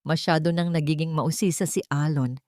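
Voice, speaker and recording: A woman's voice: low voice, steady tone, a little fast, darkish tone, no room sound, lightly acted. A cold, scheming señora from a melodramatic audio drama, played by a woman narrator. She gives orders and threats with bitter authority, in a dry, close recording. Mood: impatient. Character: menacing, bitter, scheming rich matriarch. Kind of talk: Filipino audio drama acting.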